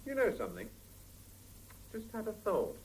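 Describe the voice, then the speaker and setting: An actor playing a well-meaning but helpless, self-absorbed young husband in a 1970s radio drama, hesitant and wheedling. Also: male voice, high voice, wildly swooping pitch, normal speed, rough recording. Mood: wistful. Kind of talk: 1970s radio drama, naturalistic domestic dialogue. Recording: hissy 1975 radio drama cassette, mono.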